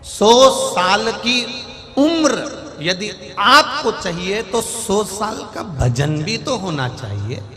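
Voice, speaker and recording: Indian preacher in a reverberant hall. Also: male voice, sits high, expressive, drawn-out words, fairly bright tone. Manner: rises and falls, urges the crowd to answer back. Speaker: preacher telling a Shiva scripture story. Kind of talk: devotional sermon to a large crowd. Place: large venue with a crowd and speakers. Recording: live sermon in a long reverberant hall with music behind.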